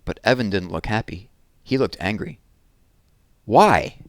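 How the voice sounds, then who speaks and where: A man narrating a children's book aloud with expressive storytelling. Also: fairly low pitch, normal speed, dry recording, studio quality.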